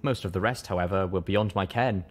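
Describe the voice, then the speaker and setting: A man reading a first-person story as an audiobook narrator, with expressive, dramatic delivery. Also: low-pitched, a little fast.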